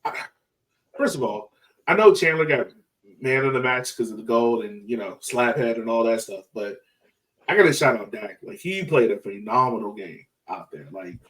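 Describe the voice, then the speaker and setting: A man hosting a casual sports fan podcast, talking in an animated, slangy, exclaiming way. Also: medium pitch, normal speed, distant mic, poor audio. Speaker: podcast host.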